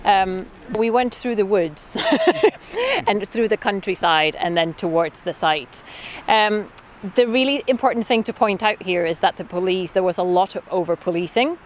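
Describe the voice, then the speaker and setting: A woman's voice: mid-range voice, lively intonation, moderate pace. A woman organiser explaining a protest in an earnest way, with many ums and restarts, interviewed outdoors. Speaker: activist and camp organiser. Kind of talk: interview answers. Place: outdoors at a camp. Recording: faint outdoor noise.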